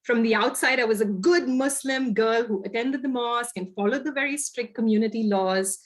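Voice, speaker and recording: A woman's voice: fairly high pitch, moderate pace. A woman from India with an Indian accent, giving a reflective storytelling presentation on a video call. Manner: reflective storytelling, lively emphasis. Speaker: guest speaker. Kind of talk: webinar presentation.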